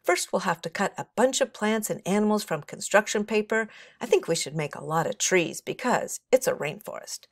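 Lady reading bossy excited little schoolboy from a kids book, eager n emphatic, dry sound. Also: medium pitch, normal speed, hardly any pauses, no echo, voice pushed up.

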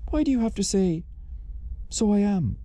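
A man's voice: sits high, sing-song, moderate pace, warm tone. A man doing a doddering, pedantic Irish grandad, very muffled tone. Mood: pedantic, baffled. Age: older. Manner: rambling, hesitant, repetitive. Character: doddering, pedantic old grandad, a confused senior citizen.

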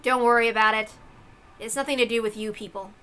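A woman voicing a stern, gloomy young man who speaks softly but firmly as a dignified game master in a visual novel. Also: a little high, steady tone, fast talker, smooth, faint music behind. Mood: solemn. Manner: soft but forceful, solemn, curt.

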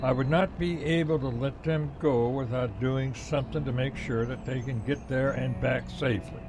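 A man playing a cranky, cocky, reckless volunteer fighter in an audio drama, with gruff, folksy defiance. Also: medium pitch, steady tone, normal speed, noisy background, close to an everyday voice. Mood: indignant.

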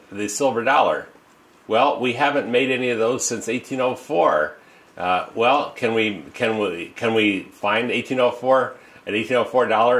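Older man, lowish voice, rambling, lots of fillers, seated in an office. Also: a bit bright. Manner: rambling, with many uh fillers and restarts. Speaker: coin dealer and auctioneer. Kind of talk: informal storytelling to camera. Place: office with desk and leather chair, indoors. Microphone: fixed camera, seated at desk.

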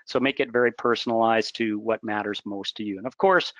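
A man's voice: on the low side, steady tone, fairly quick, a bit bright, no echo. A man answering questions in a product webinar, explaining in a conversational way with fillers. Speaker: product demo presenter.

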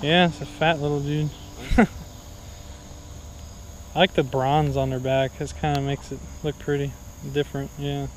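A man's voice: mid-range voice, little pitch movement, unhurried, long pauses, poor audio. A young man chatting casually and jokily outdoors as he fishes, with a laid-back, teasing manner. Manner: laid-back, jokey exaggeration. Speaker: fishing vlogger. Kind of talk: casual chat while fishing. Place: outdoors on a wooded river. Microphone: action camera worn or held by him.

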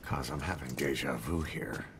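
Very deep gruff commander. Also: male voice, moderate pace, fairly bright tone, a little hiss or hum, exaggerated voice. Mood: wary, terse. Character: terse, weary, gruff male soldier commander. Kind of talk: video game cutscene acting.

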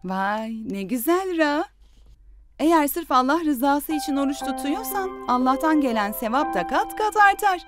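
Patient cartoon mom, high sweet explaining voice, music loud behind her. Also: very animated, normal speed. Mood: encouraging. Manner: gentle, explanatory. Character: gentle, patient mother of young children. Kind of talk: cartoon dub acting.

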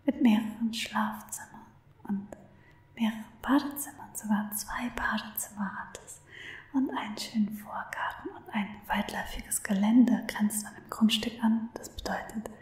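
A woman playing an obsessive, lovestruck stalker in an ASMR roleplay, cooing tenderly to her captive in an unhurried, breathy whisper, close to the microphone. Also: medium pitch, fairly even intonation, darkish tone, roomy sound, close to an everyday voice. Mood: doting, possessive.

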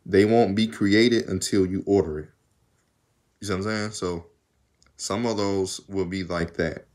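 Young Southern vlogger, low-pitched, talkative and laid-back, speaking into a phone at arm's length. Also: male voice, steady tone, measured pace, a little mellow. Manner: rambling, talkative, laughs often. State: arms tired while undoing braids. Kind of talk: casual chat to camera. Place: small room indoors. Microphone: phone camera at arm's length.

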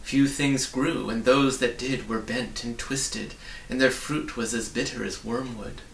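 A man in his forties reads a children's story aloud. His voice has a noticeable creak, picked up from a little distance in a roomy study with faint hiss. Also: mid-range voice, steady tone, a little slow, a bit bright. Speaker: reader. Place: small indoor study with bookshelves.

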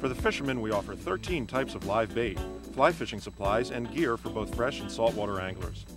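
Male ad announcer over loud music. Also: brisk. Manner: steady read sales pitch. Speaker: commercial announcer. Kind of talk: local radio-style ad voice-over.

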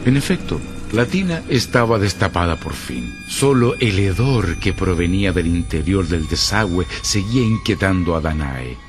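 Very deep mystery narrator. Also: male voice, sing-song, normal speed, few pauses. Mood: grave, suspenseful. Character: grave storyteller of a mystery tale. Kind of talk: radio drama narration of a horror mystery tale. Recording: music behind the voice.

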